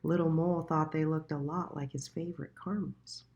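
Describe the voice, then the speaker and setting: A woman reading a children's storybook aloud in a deliberate, expressive storytelling manner. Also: low-pitched, highs cut off. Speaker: children's book reader.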